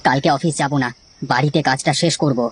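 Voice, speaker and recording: A woman voicing a calm, reasonable village husband in an animated children's story, reassuring and reasoning. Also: a bass voice, fast talker, a little hiss or hum. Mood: caring.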